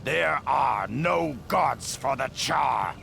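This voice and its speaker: An actor playing a grim, proud and vengeful beast-warrior rebel leader in a video game, with a deep gravelly growl and a terse, commanding delivery. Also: male voice, animated, very slow, very noisy, heavily acted. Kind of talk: dramatic video game acting.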